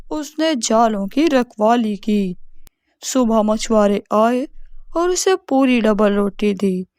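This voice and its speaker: A woman narrating a scripted bedtime story in measured, deliberate phrases. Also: on the high side, a little mellow, no room sound, studio quality.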